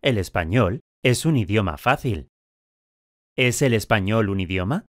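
A man with a lowish voice asks simple drill questions and states short sentences, each word clearly articulated. He is recorded close and dry with no room sound. His delivery stays even and scripted throughout. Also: measured pace, no echo. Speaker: language course speaker.

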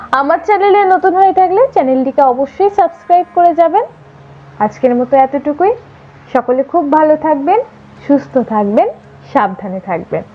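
A woman narrating a story in a dramatic, expressive, theatrical way. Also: high voice, lo-fi sound. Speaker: audio story channel host and narrator.

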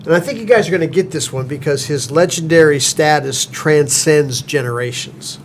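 Higher-voiced man in his sixties reading. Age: 60s. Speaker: podcast co-host. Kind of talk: scripted storytelling narration read aloud. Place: small podcast studio with large windows. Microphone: close studio mic with headset. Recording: faint background noise.

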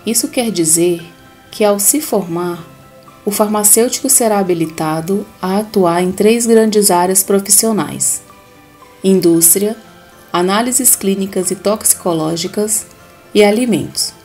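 A woman's voice: mid-range voice, measured pace, a little mellow, faint music behind. Woman narrating scripted voice-over.

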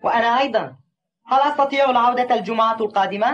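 A woman's voice: on the high side, rapid-fire, highs cut off, close to an everyday voice. A woman voicing a cheerful, simple young goatherd boy in an Arabic cartoon dub, artless and eager. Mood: friendly. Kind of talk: Arabic-dubbed cartoon acting.

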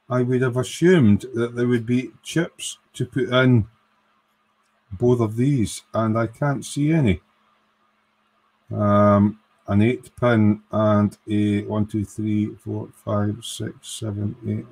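A man doing a casual live build of an electronics kit, thinking aloud and counting parts in a workshop. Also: on the low side. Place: workbench in a home workshop.

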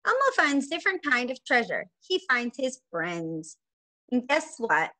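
A woman in her forties, a preschool teacher, reading a picture book aloud to young children in an expressive, sing-song manner. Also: high-pitched, a little slow. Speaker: preschool teacher and story time host. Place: small indoor room at home.

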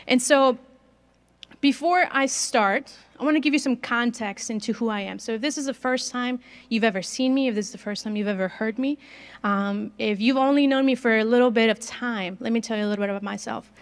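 A woman's voice: on the high side, a little fast. A woman in her twenties from northern Mexico, Spanish her first language, with a slight Mexican accent, giving a chatty sermon into a handheld mic in a large gym and asking the audience questions. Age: 20s. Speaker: guest speaker. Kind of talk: conversational sermon.

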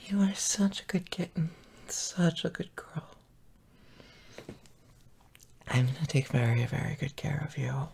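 A man playing a tender, possessive, gently dominant boyfriend in an intimate audio roleplay, often whispering in a soft, breathy murmur. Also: fairly high pitch, slight background noise. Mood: tender, protective. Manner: slow, soft, murmuring with pauses.

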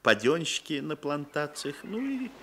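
A sly Sicilian landowner of about sixty in an old Soviet radio drama. He is lively and insinuating, hesitating and chatting genially with menace underneath. The recording carries faint noise. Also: a little high, a little slow. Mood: chatty, wry.

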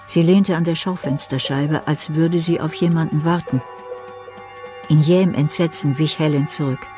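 A woman's voice: deep voice, steady tone, moderate pace, dark tone, faint music behind, lightly acted. A woman narrating a suspenseful thriller radio play as a third-person storyteller.

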